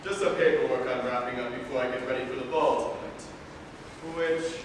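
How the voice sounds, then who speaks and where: A man's voice: mid-range voice, fairly even intonation, normal speed, natural voice. Man playing a haughty father king, distant and echoing. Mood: fatherly. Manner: formal, haughty, dramatic. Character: pompous, protective father king, easily affronted. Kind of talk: staged play reading. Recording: echoey hall, distant mic, background noise.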